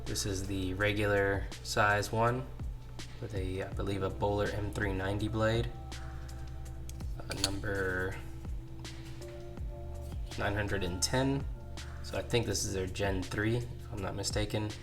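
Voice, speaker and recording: A man with a lowish voice, still hoarse from being sick, talks casually through his purchases at a garage bench. He keeps it easy and unhurried, with the odd "uh" and "um". Faint music runs in the background. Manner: relaxed, talks through items with fillers. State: hoarse, recovering from illness. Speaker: channel host, knife hobbyist. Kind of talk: casual vlog show-and-tell. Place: home garage workbench.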